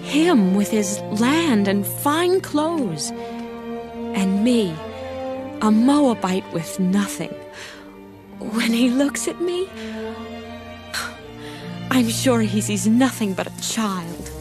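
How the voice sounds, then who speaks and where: An actress playing a shy, humble young peasant widow in an animated Bible story, speaking hesitantly. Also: female voice, fairly high pitch. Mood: wistful, self-doubting. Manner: hesitant, halting. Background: music.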